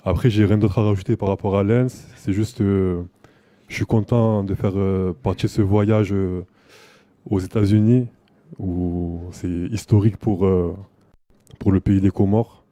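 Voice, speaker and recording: A man introducing himself to a group as a basketball player, pausing often with fillers. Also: deep voice, fairly even intonation, dark tone, no room sound. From France. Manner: hesitant, many fillers. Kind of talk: self-introduction.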